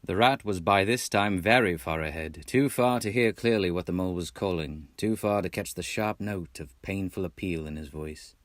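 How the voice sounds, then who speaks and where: Texan guy reading a classic kids story, lowish voice, dramatic, dry recording. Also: normal speed, few pauses, no room sound. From Texas, United States. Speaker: amateur audiobook narrator. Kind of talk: expressive scripted storybook narration.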